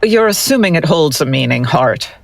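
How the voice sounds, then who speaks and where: A woman's voice: low voice, animated, normal speed, gravelly, full character voice. A woman playing a skeptical, no-nonsense forensic doctor who gives curt, sardonic replies. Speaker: forensic analyst.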